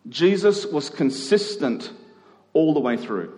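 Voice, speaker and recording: A man's voice: a little high, sing-song, slow, a little mellow. Male preacher in a reverberant hall. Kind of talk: expository sermon to a congregation. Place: large reverberant room, live church service.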